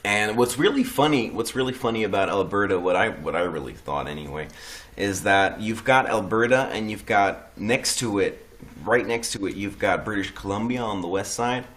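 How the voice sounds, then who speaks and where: A man's voice: on the low side, lively intonation, normal speed, a bit bright. A man from the Netherlands chatting casually as a guest, hesitant, often restarting his sentences and swearing offhandedly. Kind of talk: casual chat.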